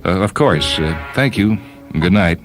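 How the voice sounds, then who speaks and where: A man playing a proud, dismissive male scientist in a story reading, with a formal, self-assured and measured manner. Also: low voice, animated, over music, voice pushed up. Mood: civil.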